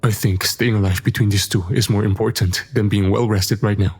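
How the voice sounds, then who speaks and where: A man's voice: on the low side, fairly even intonation, a little fast, darkish tone, breathy edge. A man playing a blunt, wry crew member in an audio drama. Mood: wry, resolved.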